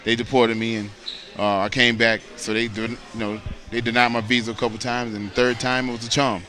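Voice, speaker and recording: A man's voice: medium pitch, level tone, fairly quick, sharp tone. An American man in his late thirties, a boxer chatting casually as an interview guest, earnest and rambling, often saying "you know.". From United States.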